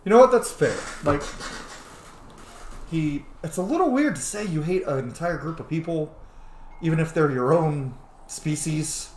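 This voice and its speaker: A man giving informal, slangy and sweary commentary while playing a roleplaying game. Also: medium pitch. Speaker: game streamer. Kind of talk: casual roleplay playthrough commentary. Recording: roomy echo, faint background noise.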